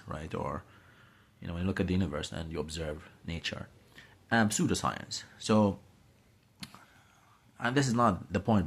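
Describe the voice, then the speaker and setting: A man's voice: sits low, husky. A man in his late thirties from Syria, talking casually to a phone camera in a small room, rambling with many fillers and restarts. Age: late 30s. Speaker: vlogger. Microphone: phone camera held close.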